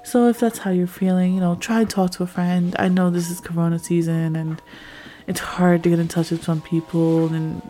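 A young woman with a raspy, slightly hoarse voice from a cold, chatting casually to her listeners as a podcast host, rambling with lots of fillers. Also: on the low side, flat delivery, moderate pace, mellow, soft background music.

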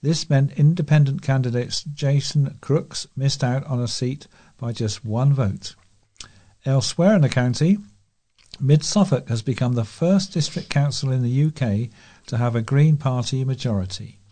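A man's voice: mid-range voice, normal speed, mellow. A man with a British accent reading scripted local news as a volunteer newsreader.

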